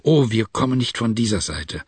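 A man voicing a loyal male Kurdish warrior who delivers an earnest, urgent warning in a fairly low voice. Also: lively intonation, normal speed, husky, no echo. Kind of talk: audiobook acting.

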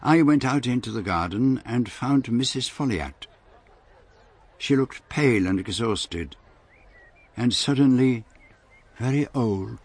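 A man's voice: mid-range voice, lively intonation, slow pace, pauses often, husky, heavily acted. A man playing a courteous foreign detective in a radio drama, formal and politely probing as he questions people and narrates his case. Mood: sombre narration.

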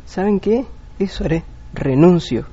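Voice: mid-range voice, lively intonation, measured pace, mellow, slightly rough, muffled recording. A performer voicing a lazy male prefect who keeps grumbling about work, in a sulky, whiny way. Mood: defiant.

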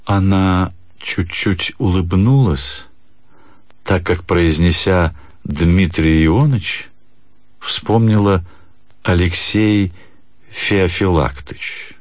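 A man narrating a literary story for radio, with expressive, measured dramatic phrasing. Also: sits low, dry recording. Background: music.

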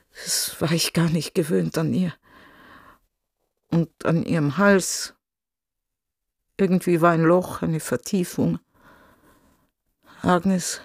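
A slow, creaky-voiced female witness. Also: lowish voice, darkish tone, no room sound, studio quality, exaggerated voice. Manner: deliberate, pausing, subdued. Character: concerned mother giving a sober witness account. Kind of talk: narrated testimony in a radio drama.

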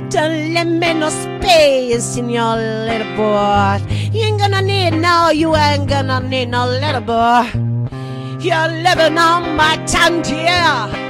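Female singer, high register, very drawn-out rock delivery for a stage show. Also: expressive. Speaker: singer and reader in a theatre performance. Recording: loud guitar music behind the voice. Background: guitar.

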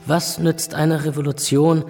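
A flamboyant royalist pamphleteer in a radio play. His gravelly voice rings with long reverb, rhetorical and sardonic, over faint music. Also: fairly high pitch, level tone, unhurried, darkish tone, full character voice. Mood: sardonic, persuasive. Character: Louis Suleau, flamboyant royalist pamphleteer writing a letter. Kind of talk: radio drama letter monologue.

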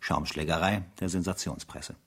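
An actor playing a sober, dutiful French army captain in a radio drama, speaking in a measured, grave and formal manner. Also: male voice, low voice, fairly even intonation, slightly rough. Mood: reflective, firm. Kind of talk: radio drama narration in diary form.

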